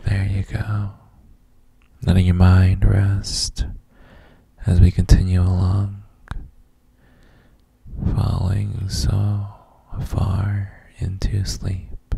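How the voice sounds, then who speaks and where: A man playing a gentle, doting boyfriend in a sleep ASMR roleplay, murmuring soft reassurances in a breathy, gravelly near-whisper with hesitant hums. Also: deep voice, monotone, drawn-out words, pauses often, heavily acted. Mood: soothing, sleepy.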